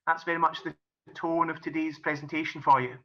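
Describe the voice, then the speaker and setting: A man's voice: on the high side, flat delivery, fairly quick, pushed and bright. A middle-aged man with a Scottish accent, a golf and business coach, giving a friendly explanatory webinar talk with many "kind of"s and "um"s. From Scotland. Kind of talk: webinar presentation. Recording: muffled lo-fi webinar audio.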